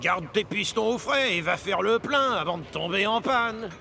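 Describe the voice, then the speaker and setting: A man's voice: sits high, lively intonation, normal speed, gravelly, sharp tone, slight background noise, full character voice. A man voicing a jolly old dockside diesel engine who talks like a sailor, hearty and reassuring, in a children's cartoon dub. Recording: music behind the voice.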